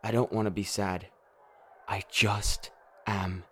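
A man's voice: on the low side, flat delivery, takes it slowly, slight background noise. A man acting a weary, hard-drinking, despairing superhero in a darkly comic radio play, brooding and rambling with a sardonic edge. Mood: bitter, sad. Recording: music playing under the voice.

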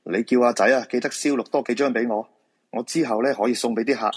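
A man plays a weary, casual middle-aged husband and feng shui master in a radio drama. His voice is husky and medium in pitch, with a Hong Kong Cantonese accent. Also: steady tone, quick pace, natural voice. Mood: casual, defensive. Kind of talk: live radio drama dialogue.

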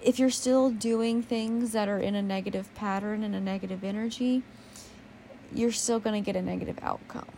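Young woman with a low gravelly voice, rambly and candid podcast talk, a bit of hiss behind. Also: fairly even intonation, normal speed, warm tone, rough recording. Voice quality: naturally low voice. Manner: rambling, candid, swears casually, self-deprecating. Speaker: podcast host. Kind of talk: casual solo podcast monologue.

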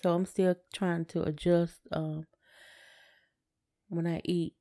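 A woman's voice: lowish voice, flat delivery, slow pace, warm tone. A woman co-hosting a podcast, talking casually and plainly, often repeating short phrases. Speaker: podcast co-host. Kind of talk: casual conversation.

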